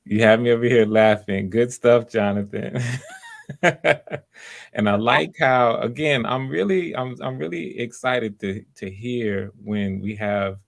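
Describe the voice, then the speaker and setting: A man's voice: medium pitch, fairly even intonation, unhurried, gravelly. A man hosting live auditions, talking casually in an encouraging, enthusiastic manner as he gives feedback.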